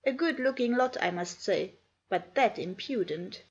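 A woman voicing a stern, sharp-tongued woman servant who scolds children. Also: a little high, expressive, unhurried, husky. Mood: scolding.

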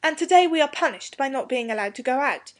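Storybook schoolboy, acted by a woman, high voice, eager, earnest, slangy, flustered but polite. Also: lively intonation, fast talker. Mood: flustered explaining. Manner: earnest, boyish slang, stumbling over words. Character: eager, hasty young English schoolboy, flustered but courteous. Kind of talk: storybook character dialogue.

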